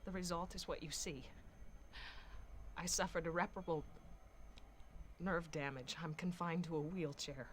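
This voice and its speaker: A woman's voice: fairly low pitch, steady tone, moderate pace, long pauses, lightly acted. An actress playing a bitter, grim, accusing woman with an emphatic dramatic delivery in a live-action video game. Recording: faint noise and ambience.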